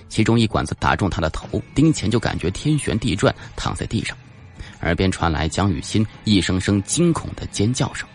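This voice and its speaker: A man narrating a suspense novel in a scripted, dramatic audiobook style. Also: sits low.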